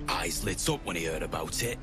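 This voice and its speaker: A man voicing a rough-spoken treasure-hunting mercenary in a video game, colloquial and matter-of-fact. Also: low voice, fairly quick, close to an everyday voice. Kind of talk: video game dialogue acting. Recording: prominent music under the voice.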